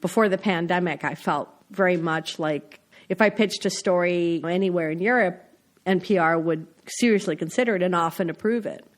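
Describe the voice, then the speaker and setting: A woman's voice: fairly low pitch, normal speed. Chatty woman, laughs as she talks. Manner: chatty, laughs often while talking. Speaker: foreign correspondent, guest. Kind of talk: casual interview chat.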